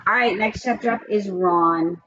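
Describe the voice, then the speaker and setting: A woman's voice: mid-range voice, moderate pace, pushed and bright, lo-fi sound. A woman reading a children's storybook aloud, expressively and with asides, speaking straight to young listeners.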